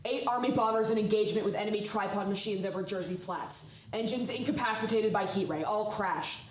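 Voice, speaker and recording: A woman's voice: mid-range voice, brisk, natural voice. Woman acting urgent radio operator, echoey, distant. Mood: urgent, formal. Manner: formal, clipped dispatch delivery. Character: female military radio operator, urgent, formal. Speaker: actor. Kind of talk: 1930s radio drama acting. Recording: distant echoey hall, faint noise.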